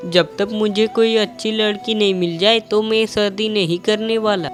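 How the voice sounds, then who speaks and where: A woman's voice: medium pitch, fairly even intonation, normal speed, close to an everyday voice. Cartoon son: young man, caring and earnest, voiced by a woman; music bed.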